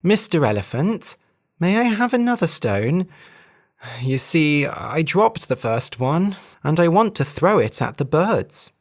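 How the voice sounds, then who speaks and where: A storyteller voicing a wily female hare who sweet-talks and tricks others, in a raised, lively voice. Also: medium pitch, measured pace. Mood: coaxing. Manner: sweet-talking, sly, bright and loud.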